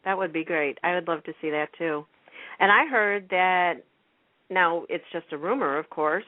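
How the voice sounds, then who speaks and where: A woman's voice: lowish voice, normal speed, no room sound. A woman radio host in casual, chatty fan talk, rambling as she tells things. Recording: thin, phone-like radio line.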